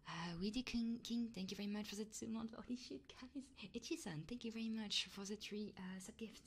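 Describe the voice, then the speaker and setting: A woman live streamer chatting casually and playfully in a soft, breathy ASMR style, often whispering, close to the microphone. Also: medium pitch, a little fast. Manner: casual and playful, swearing freely.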